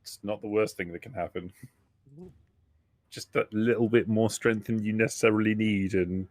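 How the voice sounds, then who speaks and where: A man's voice: lowish voice, steady tone, normal speed, a little mellow, no room sound. A man speaks very close and dry, with no room around him. He is a game master improvising descriptions for a tabletop roleplaying session. His delivery halts, circles back and rephrases as he builds each scene.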